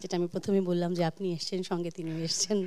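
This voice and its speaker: A woman with a Bangladeshi accent hosts a music talk show in a soft-edged, mellow tone. She speaks quickly and conversationally, often circling back over her phrases in a halting way. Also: lowish voice, level tone. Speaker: host.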